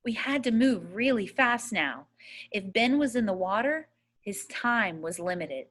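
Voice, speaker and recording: A woman reading a first-person novel aloud as a storyteller, in a measured, expressive way. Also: mid-range voice. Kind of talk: audiobook-style readaloud of a first-person children's novel.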